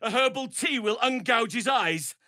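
A man's voice: very high-pitched, expressive, normal speed, bright, forceful tone, no echo, studio quality, full character voice. A man playing a fierce, scornful druid spellcaster in a video game, declaiming archaic combat lines theatrically.

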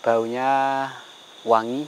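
A man acting as a spiritual medium on a night ghost hunt in a bamboo forest, calmly giving commands and reciting prayers. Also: mid-range voice, slow pace, a little hiss or hum, low-quality recording. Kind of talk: night ghost-hunting vlog.

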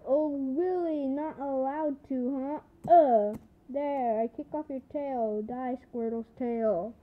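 A shrill, hot-tempered little fire lizard creature, as a woman puts it on, pitched up high and talking slowly in a bossy, threatening way. The sound is thin and tinny, like a phone line. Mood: menacing.

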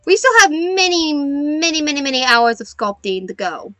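A young adult woman narrating a craft video as host in a playful, animated, self-mocking way. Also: high-pitched, moderate pace, over loud music.

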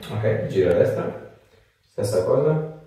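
A man's voice: fairly low pitch, expressive, a little slow, warm tone. A man, speaking as a chiropractor in short, direct, reassuring instructions. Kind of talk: hands-on exam instructions and casual explanation. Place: small indoor treatment room. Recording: echoey small treatment room.

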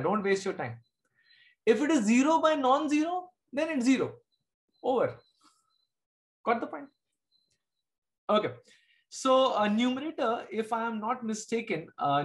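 A man in his thirties with an Indian English accent, Hindi his mother tongue, teaching a math class over a video call. His voice sits high for a man as he works through each step and keeps checking that students follow. He is heard through a computer mic in a room at home. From Jharkhand, India.

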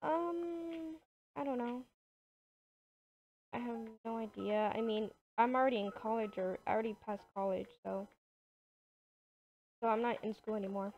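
A woman voicing a shy, bereaved young woman in an improvised game roleplay, hesitant and soft-spoken. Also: a little high, unhurried, long pauses, highs cut off, a little hiss or hum. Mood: reluctant.

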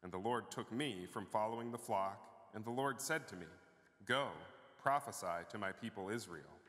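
A middle-aged man reads scripture in a measured, clear voice, bright and forceful. He is heard from a distant camera. The reading carries a long reverb from the church sanctuary. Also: fairly low pitch. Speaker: pastor. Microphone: far camera.

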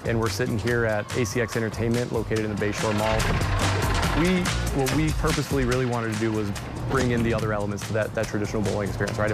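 A young male executive talking quickly and enthusiastically in an interview. His voice is a bit low, with loud background music underneath. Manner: fast, enthusiastic pitch. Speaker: company vice president.

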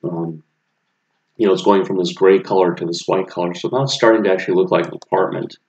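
Deep flat-voiced man, rambling narration. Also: fast, vocal fry, highs cut off. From United States. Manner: relaxed, rambling, many fillers and restarts. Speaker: vlogger showing his apartment renovation. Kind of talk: casual narration over photos.

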